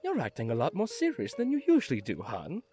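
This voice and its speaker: A man's voice: on the high side, huge pitch swings, fast, mellow. A man playing a gracious, teasing older retired carpenter in a fan audio drama, with a theatrical, effusive and affectionate manner. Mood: teasing, coy. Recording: music under the voice.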